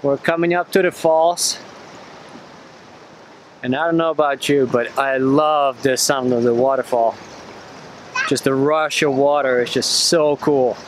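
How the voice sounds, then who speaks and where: A man's voice: medium pitch, fairly even intonation, measured pace, long pauses, a little hiss or hum, low-quality recording. A man in his thirties filming a family travel vlog outdoors, talking in an enthusiastic, conversational way to a handheld camera. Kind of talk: casual vlog narration. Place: outdoors on a forest trail and in a river. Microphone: handheld action camera at arm's length. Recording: background music.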